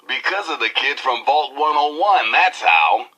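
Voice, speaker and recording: An actor playing a hip, irreverent post-apocalyptic radio DJ with flamboyant, slangy, playful patter. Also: male voice, mid-range voice, sing-song, measured pace, sharp tone, slightly rough, full character voice. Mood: exuberant. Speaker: radio disc jockey.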